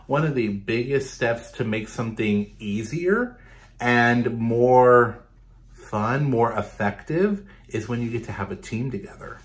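A man in his thirties reading a picture book aloud for children, expressively, pausing to explain and ask questions. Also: mid-range voice. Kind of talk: children's storytime read-aloud with asides and questions to young listeners. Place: small bedroom indoors.

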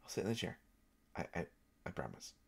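A man's voice: a little fast, natural voice. A man performing an audio roleplay as an overexcited, anxious, over-caffeinated actor, stammering and repeating his words as he rambles. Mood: defensive, anxious. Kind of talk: solo audio roleplay acting, one side of a conversation.

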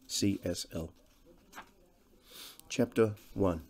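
Guy narrating a novel, dark soft-edged tone, measured storyteller style. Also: low voice, slightly rough. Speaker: audiobook narrator. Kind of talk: scripted literary narration.

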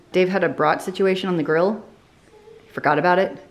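A woman's voice: fairly low pitch, moderate pace. A woman in her thirties vlogging from her home kitchen, talking casually to a handheld camera as she walks through her groceries and prices. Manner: chatty, upbeat, walks through items and prices. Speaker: family vlogger. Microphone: handheld camera, held close.

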